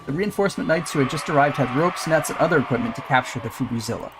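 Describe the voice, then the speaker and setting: Animated man reading a game story aloud. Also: medium pitch, fast. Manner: puts on character voices for dialogue. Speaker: streamer. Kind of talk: reading a visual novel aloud on a livestream. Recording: music under the voice.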